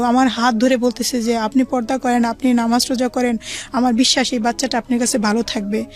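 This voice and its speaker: A woman's voice: on the high side, a little mellow. A woman with a Bangladeshi accent tells a personal story as an interview guest, running on in detail and quoting conversations. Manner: recounts in long run-on detail, quoting dialogue. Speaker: guest telling own life story.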